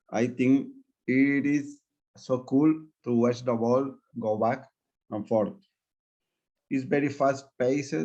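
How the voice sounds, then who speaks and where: Slow-speaking male student, dull call audio. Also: medium pitch, mellow. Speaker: English student. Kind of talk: reading aloud in class.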